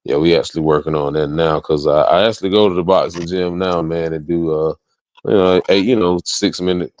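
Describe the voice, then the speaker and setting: A man with a very low voice speaks casually as an interview guest. His talk is slangy and conversational, full of "you know" and "man", and the sound is dry with little room in it. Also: steady tone, a little fast, a little mellow, no echo, lo-fi sound. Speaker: interview guest, DJ and event promoter.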